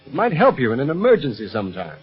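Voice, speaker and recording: An actor playing a calm, kindly, authoritative secret agent leading his team in a 1930s radio adventure serial. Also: medium pitch, fast talker. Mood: genial, assured. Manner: reassuring, genial, authoritative. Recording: muffled old radio recording with music.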